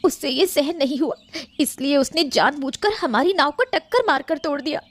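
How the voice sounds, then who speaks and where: A woman's voice: high-pitched, moderate pace, close to an everyday voice. A woman voicing a fisherman's young wife in a cartoon, who speaks in a worried, earnest way.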